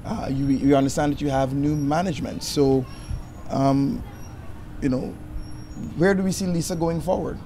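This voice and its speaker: A young man interviewing a guest, asking questions and encouraging her with steady backchannels. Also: on the high side, normal speed, slight background noise. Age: young adult. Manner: encouraging, with many "mm-hmm" backchannels. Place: outdoor terrace by a balustrade. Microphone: handheld mic.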